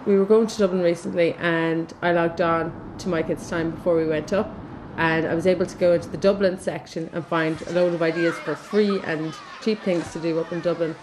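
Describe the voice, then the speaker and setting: Mother, plain chatty interview, low pitch, location noise. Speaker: parent. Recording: noisy location sound.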